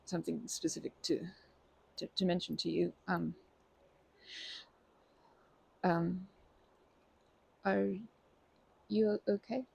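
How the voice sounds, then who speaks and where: A timid, kind stranger played by a performer for an ASMR roleplay. The voice is husky and breathy, heavily creaky, and sits at a middling pitch. It stumbles over words and apologizes anxiously, wary of intruding. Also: steady tone, full character voice, poor audio. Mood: nervous, flustered. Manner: halting, stammering, over-apologetic, nervous laughter. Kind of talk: ASMR roleplay, improvised-sounding monologue to listener.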